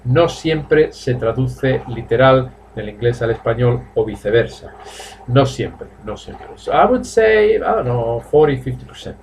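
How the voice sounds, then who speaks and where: A man's voice: medium pitch, lively intonation, vocal fry, a little mellow, slight background noise. Man in his fifties teaching English. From Spain. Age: 50s. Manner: repeats phrases slowly for drilling, switches between English and Spanish. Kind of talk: live online class, bilingual English and Spanish. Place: small room at home.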